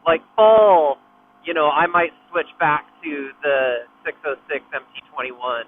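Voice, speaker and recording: A man's voice: fairly high pitch, animated, unhurried. A man chatting casually during a ride, heard over a motorcycle helmet intercom. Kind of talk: casual chat while riding. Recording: muffled intercom radio, faint noise.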